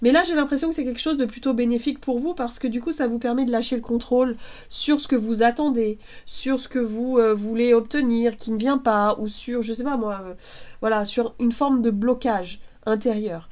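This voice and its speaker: A woman with a congested head cold gives a casual tarot reading, gentle and reassuring, hesitating often with fillers and restarts. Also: fairly high pitch, fairly even intonation, fairly quick. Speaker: tarot card reader.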